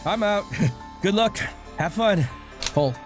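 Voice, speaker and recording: Man acting a jovial dad with swooping pitch. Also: slow, music in the background. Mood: playful. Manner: jovial, breezy, expressive. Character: cheerful, easygoing middle-aged dad who loves poker. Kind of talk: webcomic fan dub acting.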